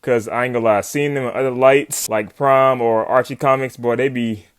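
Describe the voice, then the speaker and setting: A man giving a casual, excited comic recap, full of slang, swearing and chuckles. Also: medium pitch, moderate pace, smooth. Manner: slangy, swearing, often chuckling hype talk. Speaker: YouTube comic commentator. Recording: background music under voice. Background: music.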